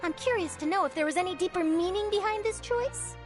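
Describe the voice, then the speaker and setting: A woman's voice: extremely high pitch, a little fast. A woman playing an eager, bubbly young newspaper reporter in an anime game, chirpy, polite and enthusiastic. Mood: polite, curious. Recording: music playing behind.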